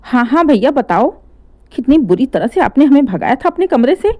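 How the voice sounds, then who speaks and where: Woman doing a pushy young sister chiming in, high voice, muffled recording. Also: sing-song, moderate pace. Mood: insistent. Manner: agreeing, insistent. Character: sly young married daughter backing her sister.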